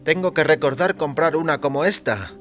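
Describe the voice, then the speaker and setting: A man performs a timid, aggrieved little man in an audio story. He speaks in a raised pitch, politely but nervously and hesitantly. Soft music plays underneath. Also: moderate pace, close to an everyday voice. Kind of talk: audio fiction story character.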